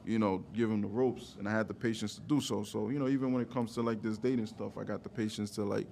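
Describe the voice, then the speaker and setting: A man's voice: fairly low pitch, steady tone, fast, creaky, darkish tone, slight background noise. A man in his thirties hosting a podcast in a casual, slangy way, often checking in with "you know" phrases. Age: 30s. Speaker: podcast host.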